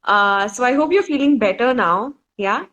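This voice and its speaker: A woman with a slightly high voice hosts a live online discussion over a video call. She asks her questions politely and at a measured pace, with the flat sound of a laptop mic. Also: lo-fi sound. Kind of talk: casual live-stream interview.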